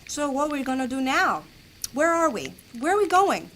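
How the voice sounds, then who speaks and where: A young woman on the run in a fantasy audio drama. Her voice is fairly high, with an anxious, complaining edge and ready indignation. Clear background noise surrounds her. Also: very animated, normal speed, a bit bright. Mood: indignant. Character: young runaway female healer, indignant and anxious. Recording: clearly noisy background ambience.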